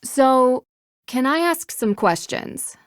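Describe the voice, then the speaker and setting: An actress voicing a composed, inquisitive young American woman detective in an adventure game, politely probing and gently teasing. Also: high-pitched, expressive, takes it slowly, clean tone, dry recording, clean studio sound. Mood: patient, wry. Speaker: video game protagonist, voice actress. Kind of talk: acted dialogue in an adventure game.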